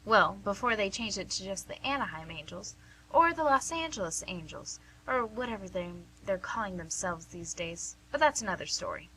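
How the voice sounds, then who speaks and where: A woman's voice: medium pitch, expressive, pushed and bright, low-quality recording. A woman acting as a wry, knowing nurse who teases and probes in an amateur radio play, recorded with some hum. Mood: chatty. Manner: teasing, probing, deliberate.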